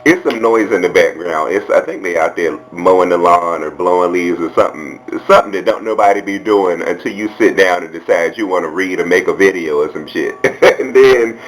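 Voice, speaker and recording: A man with a fairly low voice and an American accent talks casually to his viewers. He is candid and sassy, with a colloquial, off-the-cuff delivery as he comments like a video blogger. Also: a little fast, faint music behind, low-quality recording.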